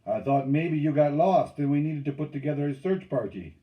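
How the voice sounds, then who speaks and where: A cocky canoeman, as a male reader puts him on, calling out loud and jovial taunts. His pitch is raised in teasing, storybook adventure style. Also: animated, brisk, dull audio, lightly acted.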